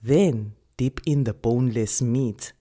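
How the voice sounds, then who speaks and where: A woman's voice: rumbling low, slow pace, mellow, heavily acted. A woman voicing a weary, loving old village grandmother, coaxing and teasing as she savours her words. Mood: savouring. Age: older. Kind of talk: storybook character voice.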